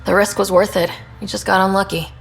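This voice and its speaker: A woman's voice: mid-range voice, little pitch movement, fast, creaky, faint music behind, close to an everyday voice. A woman playing a confident, upbeat young space explorer and squad leader in a video game, casual and assured. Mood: upbeat, reassuring. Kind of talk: video game dialogue acting.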